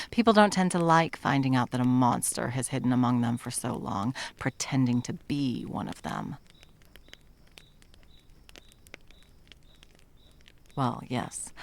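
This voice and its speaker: A woman playing a stern, condescending yet tender monster hunter in an ASMR roleplay, commanding and dropping often into breathy whispers. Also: a bass voice. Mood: coldly condescending. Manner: commanding, condescending, with pet names.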